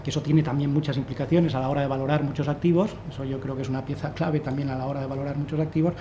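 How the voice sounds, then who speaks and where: A man's voice: medium pitch, fairly even intonation, racing, dark tone, highs cut off, a little hiss or hum. A man in his thirties speaking on a panel in a conference room, explaining his analysis with many restarts. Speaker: fund manager on a panel. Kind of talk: panel discussion. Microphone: desk microphone.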